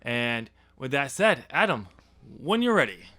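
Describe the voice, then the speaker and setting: A man's voice: medium pitch, very animated, a little slow, heavy background noise. A man chatting casually and unscripted as a podcast host, with a jokey, self-deprecating manner.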